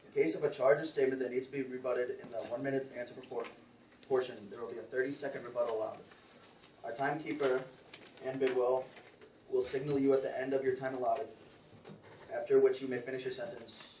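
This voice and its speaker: A man moderating a community forum, reading out the rules in an even, procedural way. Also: medium pitch, fast. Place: community meeting room. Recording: roomy, distant, faint room noise.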